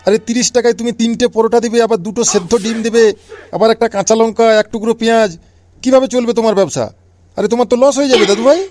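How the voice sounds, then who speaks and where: A man's voice: very high voice, fairly even intonation, rapid-fire, darkish tone, soft background music. A man voicing a doting old grandfather in a comic cartoon, indulgent and full of incredulous questions.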